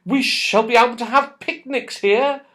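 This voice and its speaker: A man voicing an excitable young girl full of wonder in a children's storybook. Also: very high voice, normal speed, voice pushed up. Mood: delighted.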